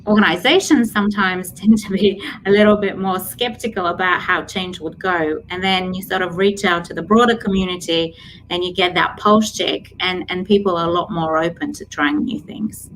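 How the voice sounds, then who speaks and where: A woman's voice: on the low side, steady tone, normal speed, fairly bright tone, a little hiss or hum, poor audio. A woman hosting a live stream, talking casually about organizational change with frequent filler words. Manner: uses filler words, reads viewer comments aloud.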